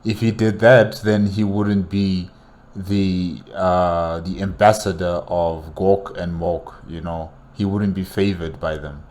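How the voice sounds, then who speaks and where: A man giving casual, off-the-cuff commentary as a reaction host, halting and repeating himself for emphasis. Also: low voice, level tone, darkish tone.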